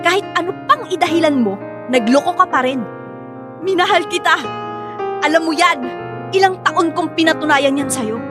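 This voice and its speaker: A woman's voice: very high-pitched, moderate pace, pauses often, music in the background. A woman playing a hurt, reproachful betrayed wife and young mother in a Filipino radio drama, with a Filipino accent. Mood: hurt, accusing.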